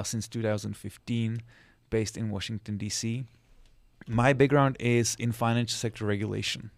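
A man talks through his work in a measured, explanatory way. His voice sits low with a gravelly edge and stays flat in tone. The sound is close and dry. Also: dry recording. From Czech Republic. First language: Czech. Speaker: guest, financial sector specialist. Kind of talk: podcast interview.

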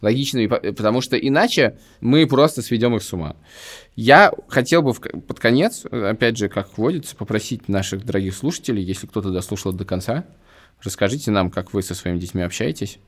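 A man's voice: fairly low pitch, expressive, fairly quick. A man in his thirties co-hosting a podcast, chatting casually and emphatically, often repeating and rephrasing himself. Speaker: podcast co-host. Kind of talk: casual opinionated podcast chat.

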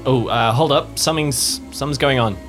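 A man playing a brisk, blunt, matter-of-fact local in a tabletop game, pressing people with questions. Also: medium pitch, music in the background, close to an everyday voice. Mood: alert.

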